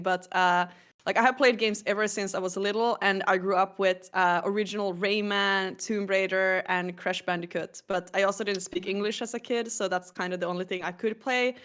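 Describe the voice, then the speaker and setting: A woman's voice: mid-range voice, fairly bright tone, clear, resonant tone. Woman, chatty guest. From Finland. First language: Swedish. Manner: chatty, hesitant fillers. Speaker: guest streamer. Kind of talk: casual chat.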